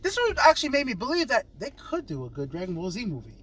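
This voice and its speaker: A man's voice: high-pitched, wildly swooping pitch, fast, a bit bright, slightly rough. A man giving a casual, enthusiastic, rambling movie review as a video reviewer. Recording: noisy ambience with vehicle sounds. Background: vehicle and ambient noise.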